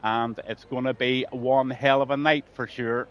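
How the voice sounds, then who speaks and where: A man's voice: medium pitch, level tone, unhurried, dull audio. A man with a Scouse accent commentating a sim race on a live stream, greeting viewers by name and letting his voice trail off at the ends of lines.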